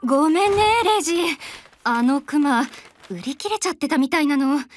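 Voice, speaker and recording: A woman's voice: high-pitched, lively intonation, moderate pace. A woman voicing a cheerful, doting young mother in an audio drama, coaxing and affectionate as she talks to her little son. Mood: apologetic. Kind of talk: Japanese anime-style audio drama acting.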